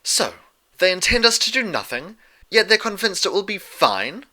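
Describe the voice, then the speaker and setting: A man plays a refined, fussy lady pony in an audio story. The voice is lifted and very bright, with prim, precise and polite phrasing. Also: high voice, big pitch swings, moderate pace, pitched above the performer's own voice, full character voice. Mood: indignant concern. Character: refined, ladylike, fussy female unicorn pony, polite and earnest.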